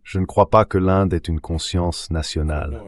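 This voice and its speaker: A man with a low-pitched voice delivers dubbed political commentary. His delivery is measured and declarative, like a translator standing in for an interview guest. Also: steady tone.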